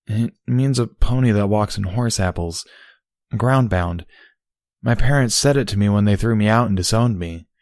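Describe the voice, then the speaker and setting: A man's voice: fairly low pitch, moderate pace, darkish tone, no room sound, clean studio sound, close to an everyday voice. A man voicing a miserable, ashamed female pegasus in an audio story, with a tight, gritty voice.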